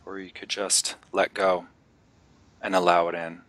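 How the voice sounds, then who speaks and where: A man leading a guided meditation, giving gentle, unhurried instructions with long pauses between phrases. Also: deep voice, flat delivery, dry recording.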